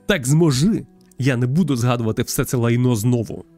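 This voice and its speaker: A man's voice: mid-range voice, brisk, darkish tone, soft background music, lightly acted. A man voicing a gloomy, distrustful young dead girl from a visual novel, in a guarded, bitter manner.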